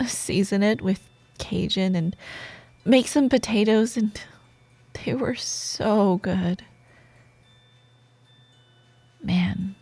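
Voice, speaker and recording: A woman playing a sardonic, secretly soft female office rival in a romantic audio roleplay, teasing and expressive, with dramatic pauses. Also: mid-range voice, no room sound. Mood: wistful, nostalgic.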